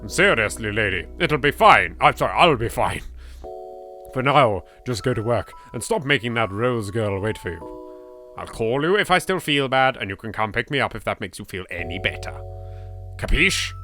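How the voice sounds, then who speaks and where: A man's voice: medium pitch, expressive, moderate pace, sharp tone, soft background music. A man voicing a feisty, bossy young woman schoolteacher with a cold, in a sassy, dismissive manner for a visual novel.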